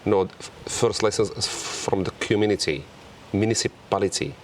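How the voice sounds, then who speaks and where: A man with a Moroccan accent speaks in a very deep voice, working through English in halting pieces and hunting for the right word. Faint outdoor noise hums behind him. He keeps to a casual, conversational tone. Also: steady tone. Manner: halting, searching for English words. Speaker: hotel co-owner. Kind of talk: casual interview chat.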